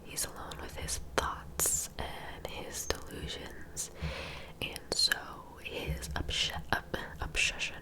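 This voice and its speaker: A woman whispering softly and informally in a breathy ASMR storytelling style, taking her time. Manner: soft, unhurried, informal. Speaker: ASMR host narrating true crime.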